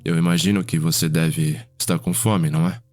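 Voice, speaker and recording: A man performs a reflective male vampire in an ASMR story, speaking with a Brazilian accent. His voice is low and his telling measured and courteous, over faint music. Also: fairly even intonation, a little mellow, lightly acted. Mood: courteous, welcoming.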